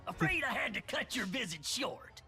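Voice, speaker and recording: A bright, piercing little redneck creature, cantankerous and crazy, with a scratchy Southern US drawl. The delivery is rowdy and swoops around like a video game cartoon, with music underneath. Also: male voice, extremely high pitch, sing-song, moderate pace, gravelly, exaggerated voice. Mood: savagely gleeful. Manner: rowdy, cantankerous, folksy, often shouting. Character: crazy belligerent little male yordle, redneck old grump. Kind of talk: video game character voice lines.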